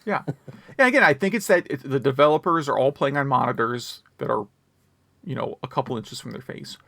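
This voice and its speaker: Male podcast co-host, gravelly mid-range voice, casual, rambling, wry griping. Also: mid-range voice, fairly quick, pushed and bright, no room sound. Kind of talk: casual chat about video games.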